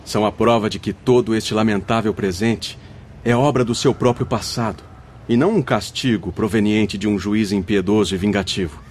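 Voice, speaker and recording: Man playing a patient, measured spirit guide. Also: fairly low pitch, a little mellow, slight background noise, lightly acted. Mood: explaining gravely. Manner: measured, formal, didactic. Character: wise, comforting male spirit guide, firm and patient. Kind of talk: radio drama acting. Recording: music under the voice. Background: background music.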